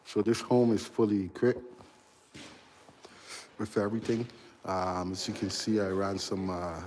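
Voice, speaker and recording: A man in his forties, an electrical contractor hosting a casual live stream as he walks through a big unfinished house, answering viewers in a halting, chatty way full of ums and uhs. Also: fairly low pitch, little pitch movement, a little mellow. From Barbados. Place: large unfinished house under construction, indoors.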